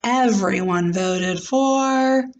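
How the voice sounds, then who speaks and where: A woman reading a children's storybook aloud in a deliberate, expressive way, like a teacher with a class. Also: mid-range voice.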